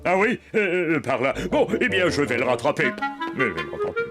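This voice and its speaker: A man voicing a jolly, curious, gullible policeman in a children's cartoon, musing aloud in a hesitant, deliberate way. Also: mid-range voice, wildly swooping pitch. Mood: cheerful. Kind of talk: animated children's cartoon dub. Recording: loud music behind the voice.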